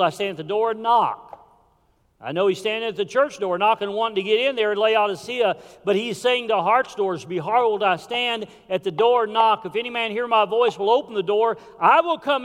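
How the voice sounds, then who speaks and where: Impassioned preacher in a reverberant church. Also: male voice, high voice. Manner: impassioned preaching with sudden drops to a hush. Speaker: pastor. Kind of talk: sermon. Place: church sanctuary. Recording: hall reverb.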